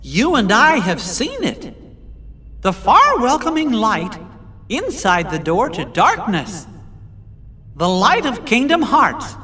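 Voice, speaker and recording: A bright, squeaky cartoon mouse king speaks slowly and earnestly, his pitch leaping about with every phrase. He sounds kind and encouraging, and a long reverb trails behind him in the game cutscene. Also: huge pitch swings, full character voice. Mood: reassuring. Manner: high falsetto, encouraging and sincere. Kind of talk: video game cutscene dialogue.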